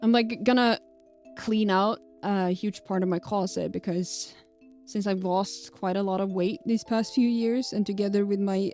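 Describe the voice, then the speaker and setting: A woman's voice: mid-range voice, a little mellow. A woman whose first language is Swedish, speaking with a light Swedish accent as a streamer chatting casually, rambling, fumbling her words and laughing often. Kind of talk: casual live-stream chat.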